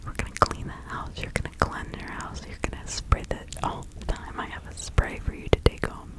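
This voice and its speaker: A woman voicing a kindly village witch healer in an ASMR roleplay, giving gentle counsel in a breathy whisper. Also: lightly acted. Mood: mystical, reassuring. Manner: gentle, unhurried, instructing.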